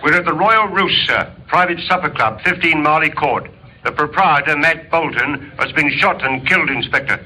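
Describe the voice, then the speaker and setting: Sharp, husky radio police sergeant. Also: male voice, on the high side, moderate pace, exaggerated voice, poor audio. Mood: dutiful, official. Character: formal, dutiful male district police sergeant. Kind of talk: 1950s radio drama acting.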